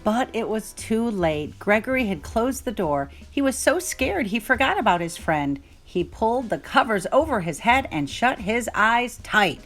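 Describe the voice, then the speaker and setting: A woman's voice: fairly high pitch, very animated, unhurried, few pauses, fairly bright tone, faint music behind. A woman reading a children's story aloud as narrator.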